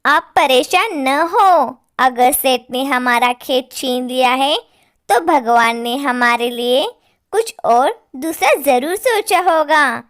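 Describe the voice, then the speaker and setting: A woman voicing a gentle village farmer's wife in a storybook tale, soothing and reassuring. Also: high-pitched, animated, slow, smooth, voice pushed up, heavily acted. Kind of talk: storybook character acting.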